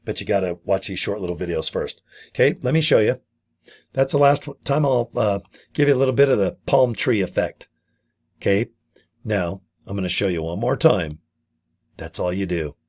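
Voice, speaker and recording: A middle-aged man with a hoarse, gravelly voice from a vocal cord injury, casually pitching his online business and often calling his viewers "guys". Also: lively intonation, normal speed, darkish tone. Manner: chatty, repeats "guys" and "okay". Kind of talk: casual sales pitch to camera.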